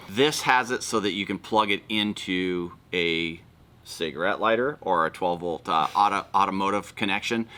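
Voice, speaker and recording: A man's voice: on the low side, noisy background. A man hosting a casual product walkthrough, explaining things informally and step by step. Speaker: video host.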